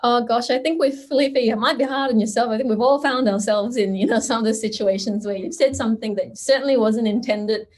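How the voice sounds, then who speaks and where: A woman's voice: on the high side, darkish tone. Thoughtful woman from Singapore. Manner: thoughtful, measured, with frequent "you know". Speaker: guest. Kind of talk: conversational interview.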